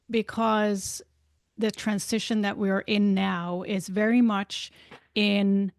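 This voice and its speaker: The guest on a spiritual podcast, a woman speaking English as a second language, weighing each halting phrase slowly with a gravelly fry. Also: mid-range voice, no room sound, clean studio sound. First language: not English. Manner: halting, deliberate, searching for words. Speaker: interview guest, spiritual life coach and author. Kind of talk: reflective interview conversation.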